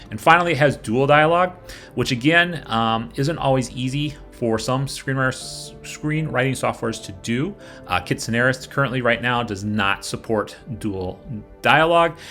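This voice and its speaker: A man's voice: mid-range voice, bright, forceful tone. Man presenting over prominent background music. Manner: informal, explanatory, addresses viewer directly. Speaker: presenter of a software review video. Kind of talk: casual product walkthrough over screen capture.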